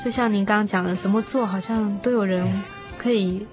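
A woman's voice: mid-range voice, steady tone, a little fast, over music. A woman co-hosting a conversational discussion of faith, thoughtful and earnest.

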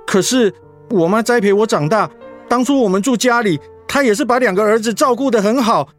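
A man's voice: high voice, brisk, soft background music, natural voice. A man playing a torn, earnest, dutiful son and husband in a radio drama. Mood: torn, pleading. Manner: hesitant, earnest.

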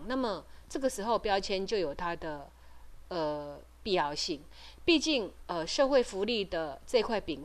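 A middle-aged woman with a Taiwanese Mandarin accent giving informal advice as a host, explaining things hesitantly with frequent pauses and trailing particles. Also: fairly low pitch. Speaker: podcast host and parent advocate. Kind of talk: informal advisory talk.

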